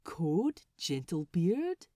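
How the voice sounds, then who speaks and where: A woman voicing a polite, gentle male pirate crewman who speaks in a questioning manner in a story reading. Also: lowish voice, very animated, extremely slow, dry recording, clean studio sound, heavily acted. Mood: gently puzzled.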